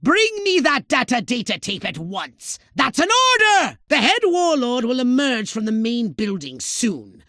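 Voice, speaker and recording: A very high, bright-voiced alien commander, scheming and imperious. His pitch swings wildly as he hands out pompous, sarcastic orders in theatrical video game style, recorded very dry. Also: wildly swooping pitch, a little slow, few pauses, no echo, heavily acted. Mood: gleefully sinister. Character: shrill, bossy, scheming alien commander giving mission orders.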